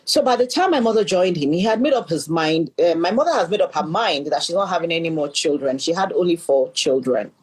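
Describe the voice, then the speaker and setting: A woman's voice: fairly low pitch, animated, a little fast, few pauses, husky. A middle-aged Nigerian woman, a leadership coach, earnestly telling her life story as a podcast guest and often repeating words as she thinks. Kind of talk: storytelling in an interview.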